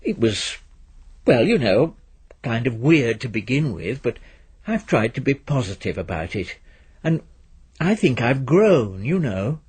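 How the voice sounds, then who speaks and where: Man doing a polite older married lady in an audiobook, hesitant and careful, slightly husky. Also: medium pitch, big pitch swings, unhurried, a little mellow, heavily acted. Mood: earnest, hesitant.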